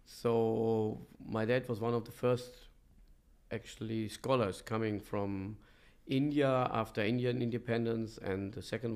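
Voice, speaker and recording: A middle-aged man from Germany telling his story as an interview guest, with halting, thoughtful pauses. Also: on the low side, creaky, a little mellow. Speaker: podcast guest, professor and coach. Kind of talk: reflective conversational interview storytelling.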